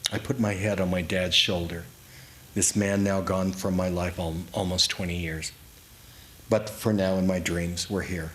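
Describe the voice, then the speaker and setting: A man giving a dramatic, reflective reading of his own memoir story, with deliberate pauses. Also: sits low, steady tone, fairly bright tone. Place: indoors in a historic house, live reading. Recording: clearly noisy live room recording.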